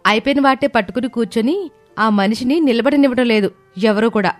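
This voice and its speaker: A woman's voice: on the high side, fairly quick, no echo, faint music behind, close to an everyday voice. A woman voicing a loyal daughter of about thirty, earnest and protective, in a moral story. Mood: angry, indignant. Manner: indignant, protective of her father.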